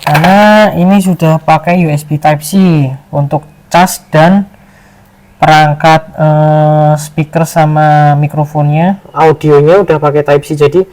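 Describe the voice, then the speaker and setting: A man with a slightly higher-than-average voice talks through a product tutorial. He is informal and conversational, working out details aloud as he explains them to viewers. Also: fairly even intonation, a little slow, darkish tone. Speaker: product reviewer and vlogger. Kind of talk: casual unboxing and tutorial talk.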